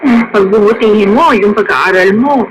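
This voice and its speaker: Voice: mid-range voice, moderate pace, lightly acted. An actor with a Filipino accent playing a caring, encouraging young school friend in a radio drama. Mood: concerned, consoling. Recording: radio drama with faint noise.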